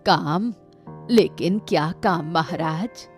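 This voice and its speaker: A woman's voice: fairly low pitch, slow, faint music behind. A woman voicing an anxious, stubborn, complaining housewife and mother in a Hindi cartoon story. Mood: reluctant, protesting.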